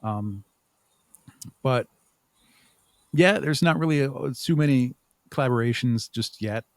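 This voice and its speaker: A man answering interview questions conversationally as a podcast guest, thinking aloud in a halting way with repeated words. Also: medium pitch, no echo. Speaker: podcast guest, audio drama writer and producer.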